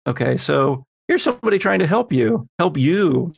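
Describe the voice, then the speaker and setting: A man's voice: a little high, sing-song, moderate pace, gravelly, breathy edge. Man game-mastering a horror roleplaying game. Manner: conversational, improvising scene descriptions.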